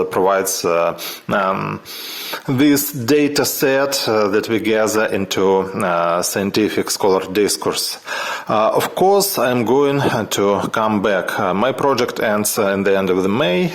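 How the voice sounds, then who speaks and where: A middle-aged man with a Ukrainian accent gives an unscripted personal account in English as a non-native speaker, haltingly, with many filled pauses. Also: fairly low pitch, animated, vocal fry. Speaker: media researcher telling his story.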